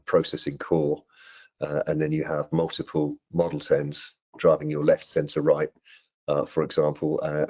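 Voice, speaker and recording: Very low male voice, husky, measured explanatory delivery, muffled video-call sound. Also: a little mellow. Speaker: product presenter answering questions. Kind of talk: informal technical Q&A in an online training webinar. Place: indoor room on a video call.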